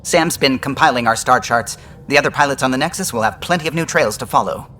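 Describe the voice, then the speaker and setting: A man's voice: mid-range voice, fast, sharp tone, slight background noise, close to an everyday voice. A man playing an earnest, resolute starship pilot, speaking solemnly in video game dialogue. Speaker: pilot character.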